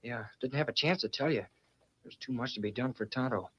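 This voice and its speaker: A man's voice: medium pitch, a little fast, breathy edge, close to an everyday voice. A man playing an eager, polite teenage boy of the frontier, earnest and deferential, in old radio acting. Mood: earnest, concerned. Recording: muffled old radio recording.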